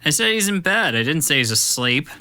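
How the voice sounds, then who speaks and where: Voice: mid-range voice, very animated, brisk, pushed and bright. An actor playing a sullen, sarcastic college-age son, snide and dismissive, in an audio drama.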